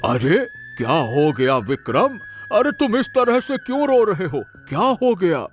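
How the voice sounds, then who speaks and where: An old village uncle played by a man in an audio story. The voice sits a little high for a man. The character is weary, scolding and short on sympathy, advising with exclamations. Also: very animated, unhurried, over music. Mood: concerned surprise. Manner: admonishing, exclamatory.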